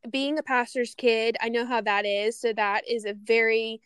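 A woman's voice: a little high, fairly even intonation, moderate pace, smooth, no echo. A woman from Louisiana, hosting a podcast in a bubbly, gushing, casual chat. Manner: bubbly, gushing, warm enthusiasm. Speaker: podcast host.

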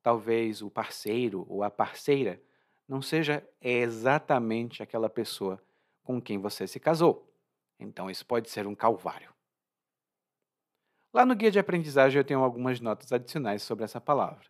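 Lively Brazilian man explaining words. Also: medium pitch, normal speed. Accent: Brazilian Portuguese. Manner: lively, informal, chatty, laughs at own jokes. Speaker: language teacher. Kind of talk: explaining vocabulary from a story.

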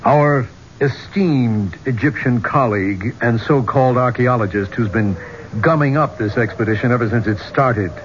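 An actor playing an affable but hot-headed archaeologist with a casual, blunt manner in a 1970s radio drama. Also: male voice, medium pitch, moderate pace, close to an everyday voice. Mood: irritated. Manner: casual, plain-spoken, blunt. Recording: muffled, noisy 1970s radio recording.

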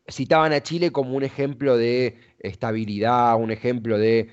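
A man's voice: medium pitch, fairly even intonation, moderate pace, clear, resonant tone, dull audio. A man with an Argentine accent conducting a conversational interview, wordy and often searching for his words. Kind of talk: conversational radio-style interview.

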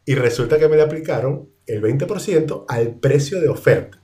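A man's voice: medium pitch, lively intonation, normal speed, warm tone. A man with a Latin American Spanish accent, telling things casually in conversation. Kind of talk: casual anecdote and chat. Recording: distant from the mic.

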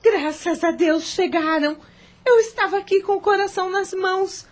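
A woman's voice: extremely high pitch, moderate pace. An actress playing a worried, caring woman of the household in a radio drama, speaking in a solicitous way. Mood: anxious.

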